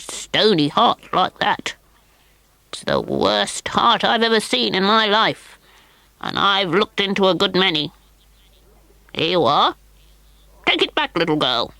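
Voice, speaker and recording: A woman reads as a tiny, bent old woman of the woods, wise and solemn. The voice is gravelly and drawn out, with pitch that swoops up and down. The sound is close and dry. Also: huge pitch swings, sharp tone, no room sound, full character voice. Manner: slow, croaky, knowing storybook voice. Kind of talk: storybook reading.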